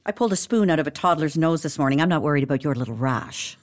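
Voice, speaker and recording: An actress playing a brisk, no-nonsense royal physician with a wry, matter-of-fact manner in a comedic audio drama. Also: female voice, sits low, expressive. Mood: dry, brisk.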